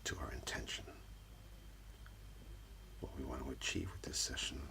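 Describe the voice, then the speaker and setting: A man speaking in soft, breathy, often whispered ASMR style, gently soothing and reassuring like a practitioner calming a client. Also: a bass voice, moderate pace. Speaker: ASMR host, role-playing Reiki practitioner. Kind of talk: soft-spoken ASMR, personal-attention session. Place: small room indoors.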